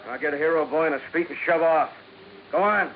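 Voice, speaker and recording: An actor playing a menacing hoodlum with sneering, mock-polite tough talk in 1950s radio drama style. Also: male voice, on the high side, a little fast, lightly acted. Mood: scornful. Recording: noisy, tinny old radio recording.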